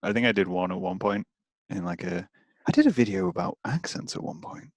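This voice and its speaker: A man's voice: low-pitched, very animated, fairly quick, vocal fry, no echo, studio quality. A man with an English Midlands accent chatting casually as a podcast host, hesitant, with lots of fillers and restarts. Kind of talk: casual chat.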